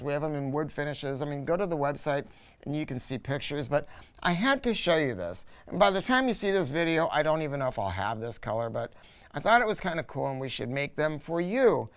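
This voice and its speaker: Smooth-voiced, emphatic American man reviewing gear. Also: a little high, animated, a little fast. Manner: emphatic, repeats key words for stress. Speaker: audio dealer presenting a product review. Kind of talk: casual, unscripted product talk.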